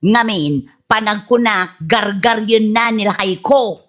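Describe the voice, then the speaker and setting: A woman's voice: mid-range voice, slow pace, fairly bright tone. A woman playing a jealous, quarrelsome middle-aged wife in an Ilocano comedy radio drama, petulant and scornful, with a Filipino Ilocano accent.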